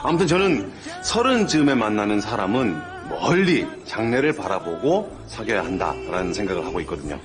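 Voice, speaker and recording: A man's voice: lowish voice, big pitch swings, brisk, hardly any pauses, music in the background. An actor playing an eager young man who boasts politely and formally that he never gets drunk.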